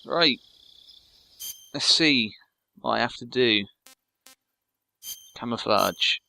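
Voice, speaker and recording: A man's voice: mid-range voice, lively intonation, extremely slow, gravelly, bright, forceful tone. A man giving casual, sarcastic, self-deprecating commentary while playing a video game. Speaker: let's play host.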